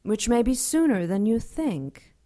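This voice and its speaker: A woman playing an imperious, sardonic ancient dark angel in an audio drama, taunting and theatrical in a deliberate way. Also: on the high side, very animated. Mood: gloating.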